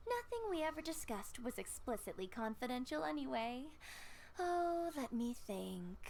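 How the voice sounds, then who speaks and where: A woman's voice: high-pitched, sing-song, normal speed, vocal fry, a little mellow, a little hiss or hum, full character voice. A woman voicing a polite, shrewd shopkeeper in a video game, courteous and coyly mercantile. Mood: coy, businesslike. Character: polite, shrewd female grocery shopkeeper with teasing composure. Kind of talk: game character dialogue.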